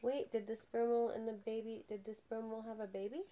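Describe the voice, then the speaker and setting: A woman's voice: a little high, flat delivery, fast talker, mellow, no room sound. A woman talking casually with a young child, encouraging with short reactions and questions, recorded in a room at home.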